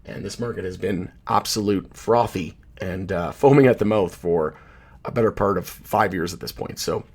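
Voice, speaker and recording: A man hosting a casual market commentary, hoarse from losing his voice, rambling and correcting himself as he goes. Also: low-pitched, moderate pace, darkish tone. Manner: rambling, self-correcting, loose with fillers. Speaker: channel host, market commentator. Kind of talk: casual chart commentary to camera.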